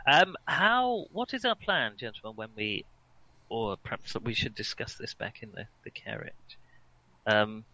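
A man's voice: medium pitch, very animated, unhurried, pushed and bright, rough recording. A man playing a tabletop game, jokey and playful. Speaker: player. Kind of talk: casual tabletop play.

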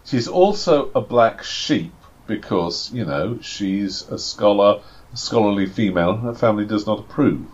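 A man with a fairly low voice chats casually as a game master, stumbling over restarts and fillers. His humour is dry and self-deprecating. The recording sounds slightly dull and lo-fi. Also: expressive, normal speed, breathy edge. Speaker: game master and podcast co-host. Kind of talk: casual chat and tabletop game setup.

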